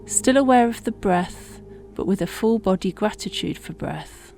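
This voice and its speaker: A woman guiding a meditation in a gentle, unhurried manner, leaving long pauses between phrases. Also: mid-range voice, vocal fry. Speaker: meditation guide. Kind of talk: guided meditation narration. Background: relaxing music.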